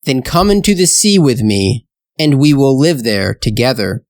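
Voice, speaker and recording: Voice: mid-range voice, lively intonation, moderate pace, a little mellow, dry recording, clean studio sound, close to an everyday voice. A narrator voicing an old male sea dragon king, stern but hospitable, in a storybook tale. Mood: stately.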